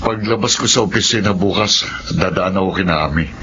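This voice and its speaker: A man's voice: low voice, brisk, background noise, natural voice. An actor playing a skeptical, plain-spoken working father in a radio horror drama. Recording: dull sound with music behind.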